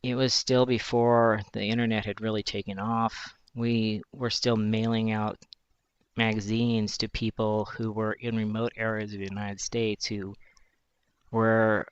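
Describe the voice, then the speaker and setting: A man in his forties with a fairly high voice for a man, speaking thoughtfully in a reflective personal monologue, pausing and restarting as he searches for words. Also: level tone, clean tone, no echo, dull audio. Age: 40s. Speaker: journalist.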